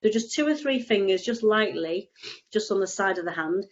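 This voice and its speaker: A woman's voice: medium pitch, expressive, moderate pace, lo-fi sound, slight background noise. A woman with a cold and a stuffy nose, explaining tapping casually on a video call, rambling with frequent restarts. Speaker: EFT tapping practitioner, guest.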